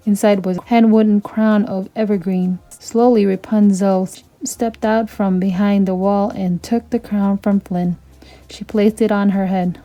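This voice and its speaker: Mellow-voiced woman reading a storybook. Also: medium pitch, steady tone, unhurried, rough recording. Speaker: storytime read-aloud host. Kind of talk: children's storybook narration.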